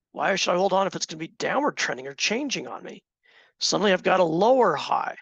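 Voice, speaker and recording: A man with a fairly high, gravelly voice leads a trading class, chatting with his students and throwing questions to them. His voice is a little hoarse, and the sound is dry and close. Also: a bit bright, no room sound, lo-fi sound. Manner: conversational, asks the class questions. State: slightly hoarse, clearing throat. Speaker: trading instructor. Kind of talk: teaching a live class.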